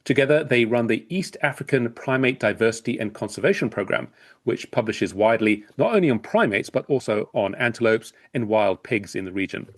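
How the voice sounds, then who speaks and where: A man's voice: medium pitch, expressive, a little fast. Male podcast host in easy conversation. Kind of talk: casual conversational podcast hosting.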